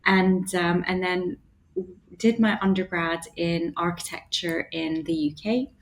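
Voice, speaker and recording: A woman's voice: on the low side, fairly even intonation, a little slow. A woman in her thirties, an interior designer, answering interview questions in a thoughtful, hesitant way with many fillers and restarts. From Bahrain. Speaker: interior designer and studio founder, guest. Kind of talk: casual interview conversation.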